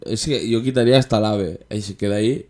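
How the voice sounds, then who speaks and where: A man's voice: lowish voice, fast, warm tone. A male podcast host with a Spanish accent from Spain talks politics casually. His delivery is wry and joking, and he often stops and restarts as he works toward a sarcastic point.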